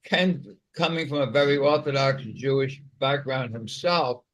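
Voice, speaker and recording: A man's voice: medium pitch, a little slow, a bit bright. A man with a mild stutter on a video call, explaining things in a casual discussion, often repeating words. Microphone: online video call.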